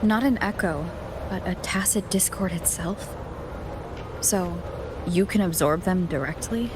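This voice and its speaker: A woman's voice: lowish voice, unhurried, noisy background, close to an everyday voice. A woman voicing a keen, inquisitive and determined female adventurer in a dramatic video game. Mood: curious, determined. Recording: music behind.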